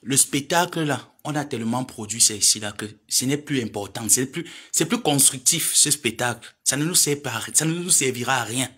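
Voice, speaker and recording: Sharp-toned lecturing man. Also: mid-range voice, normal speed, hardly any pauses, husky. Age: 30s. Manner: preachy, lecturing, pleading then scolding. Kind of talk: admonishing social media live monologue.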